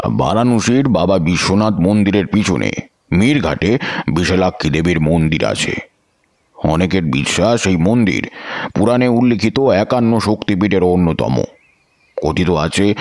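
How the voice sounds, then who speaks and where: A man playing a calm, devout wandering tantric mystic who narrates his own story in a measured, reflective way for an audio drama. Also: low voice. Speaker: first-person narrator.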